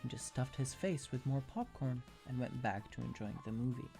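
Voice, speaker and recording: A narrator reads a fan fiction story in an ASMR style. The voice is dark and soft-edged with plenty of vocal fry, and music plays quietly behind it. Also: medium pitch, moderate pace.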